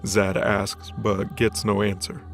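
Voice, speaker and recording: A man's voice: fairly low pitch, little pitch movement, slow, creaky. A man reading scripted fiction as the narrator of a story. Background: background music.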